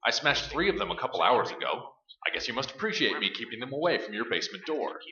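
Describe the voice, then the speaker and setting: An actor as a fast-talking, tough, defiant man. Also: medium pitch, sing-song, crowd noise, natural voice. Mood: firm, defiant. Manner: forceful, challenging, blunt. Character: tough, defiant, commanding male survivor of a siege. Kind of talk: staged radio play acting. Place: live stage performance. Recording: echoey, dull live recording, audience noise.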